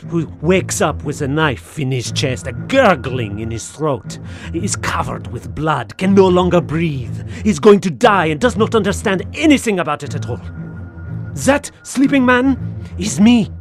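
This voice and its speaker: An actor playing a tormented, obsessive man in an audio horror drama, intense and theatrical, halting and then feverish. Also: a little high, expressive, soft background music. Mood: rising terror. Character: tormented, obsessive Frenchman haunted by an invisible being.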